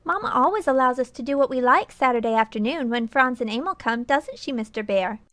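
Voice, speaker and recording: A woman voices a prim little girl with a high pitch, recorded very dry. She is polite and chatty, a child in a dramatized storybook. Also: animated, brisk, fairly bright tone, no room sound. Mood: eager, polite. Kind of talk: audiobook dramatic reading.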